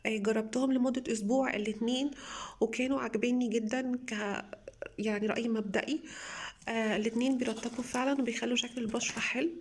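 A young woman with an Egyptian Arabic accent, slightly hoarse from a cold, chatting enthusiastically to viewers as she reviews beauty products at home. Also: a little high, steady tone, quick pace. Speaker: beauty vlogger. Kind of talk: casual product haul review.

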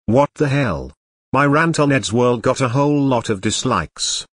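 A man's voice: mid-range voice, lively intonation, unhurried, very noisy, lightly acted. A man voicing a bratty young boy who rants and insults in a cartoon. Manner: whiny, insulting ranting. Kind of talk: cartoon grounded-video dialogue.

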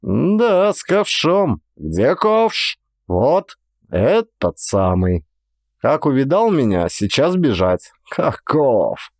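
Man acting a blustering, excitable mine guard. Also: mid-range voice, no echo, studio quality. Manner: excited, scolding, repetitive. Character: blustering, triumphant male mine guard chasing gold thieves. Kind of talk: audiobook drama acting.

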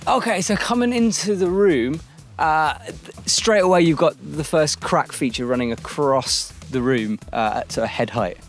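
A man's voice: fairly high pitch, animated, normal speed, soft background music. A man presenting on location, describing a training cellar and asking questions. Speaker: presenter interviewing a climber.

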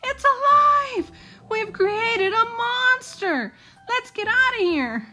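A woman's voice: extremely high pitch, expressive, slow pace, bright, forceful tone, pitched above the performer's own voice, heavily acted. A woman voicing frightened, melodramatic crayon townspeople in a storybook, exclaiming in fretful horror. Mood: panicked.

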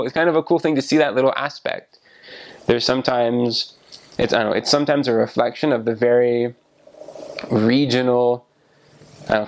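A man in his twenties talking casually to the camera about his missionary experience, relaxed and often restarting his sentences, recorded on a lapel mic in a small room. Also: medium pitch, vocal fry. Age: 20s. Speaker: returned missionary. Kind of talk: casual explanatory talk to camera. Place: small indoor room by a window.

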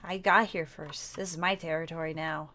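A woman's voice: low-pitched, steady tone, moderate pace, bright, forceful tone, slight background noise. A woman playing a nervous, stubborn zombie-apocalypse survivor in an improvised game roleplay, speaking hesitantly. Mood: stubborn, defensive.

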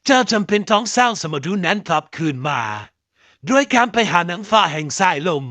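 A wise old talking tree from a bedtime storybook, kindly and solemn, played by a man. The voice sits high for a man, with a formal, measured delivery. The recording is dry and close. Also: expressive, sharp tone, no room sound. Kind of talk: storybook voice acting.

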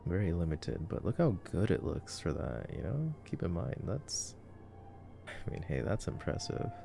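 A man's voice: deep voice, sing-song, normal speed, gravelly, music in the background, exaggerated voice. A man playing an easygoing, nerdy boyfriend in an ASMR sleep-aid roleplay, chatting casually and teasingly through a movie. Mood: impressed, musing. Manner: casual, teasing, playfully cocky.

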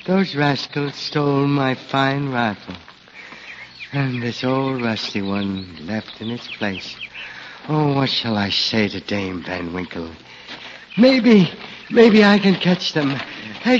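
Bewildered old man, swooping pitch. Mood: groggy, puzzled. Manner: hesitant, befuddled, exclaiming. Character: bewildered, meek old village man, freshly woken. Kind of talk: 1940s radio drama acting. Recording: noisy, muffled 1940s radio recording.

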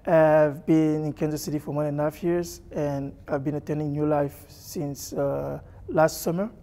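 A middle-aged man from Congo, seated indoors with a lapel mic, giving an earnest personal testimony and often pausing and restarting. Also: a little high, fairly even intonation, mellow. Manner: earnest, halting, with many fillers and restarts. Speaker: church member sharing testimony. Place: church hall with stage, indoors.

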